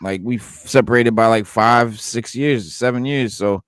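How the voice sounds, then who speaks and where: A man's voice: medium pitch, normal speed, a bit bright, clean tone, no echo, studio quality. A man in his forties co-hosting a casual hip-hop chat, emphatic and loose, with plenty of profanity and stammered restarts. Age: 40s. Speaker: podcast co-host.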